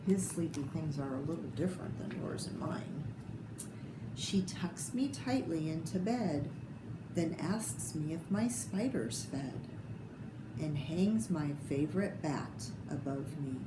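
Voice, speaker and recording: A woman's voice: deep voice, lively intonation, takes it slowly. An American woman reading picture books aloud to children, expressively and unhurriedly, with little asides to her listeners. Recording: echoey room with clear background noise.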